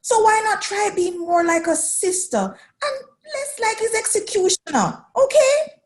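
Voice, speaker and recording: A woman's voice: extremely high pitch, animated, unhurried. A woman playing a firm, protective mother who scolds her grown daughter in a radio drama. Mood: stern. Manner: stern and scolding.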